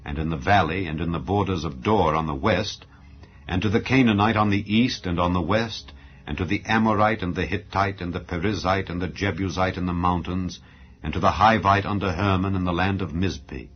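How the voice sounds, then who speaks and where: Male scripture narrator, low register, solemn and declamatory, slightly muffled lo-fi sound. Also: fairly even intonation, fairly quick. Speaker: audio Bible narrator. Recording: dull lo-fi recording. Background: background music.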